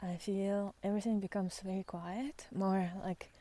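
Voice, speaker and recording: A woman speaking off the cuff and reflectively on a walk outdoors, musing and halting, often trailing into breathy near-whispers. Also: medium pitch, steady tone, mellow. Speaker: spiritual vlogger and guide. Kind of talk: unscripted reflective talk while walking in nature.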